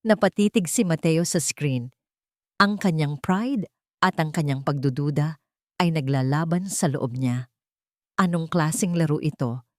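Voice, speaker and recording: A woman narrating a love drama with an expressive, melodramatic reading. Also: sits low, unhurried, long pauses, no echo, clean studio sound. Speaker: storyteller.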